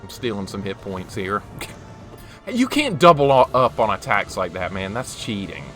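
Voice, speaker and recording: A man's voice: fairly low pitch, big pitch swings, normal speed, fairly bright tone, faint music behind. A man in his forties streaming a game, chatting casually with viewers and reacting to play. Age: 40s. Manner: chatty, talks to chat while reacting to the game. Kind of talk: casual live-stream chat while gaming.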